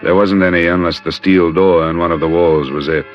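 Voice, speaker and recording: Man playing a deep-voiced hard-boiled American private eye. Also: fairly even intonation, a little fast, lightly acted. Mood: dry narration. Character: tough, wary male private investigator, hard-boiled and dogged. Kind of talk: 1940s-50s radio noir acting, first-person narration. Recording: dull vintage radio recording, music behind.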